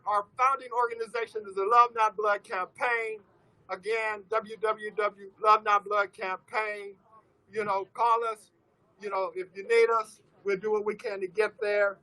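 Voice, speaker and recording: A man from Oakland giving an impassioned rally speech, hoarse and losing his voice, leading call-and-response chants. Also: high voice, little pitch movement, moderate pace, sharp tone, poor audio. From Oakland, California. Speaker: rally speaker for families of police violence victims.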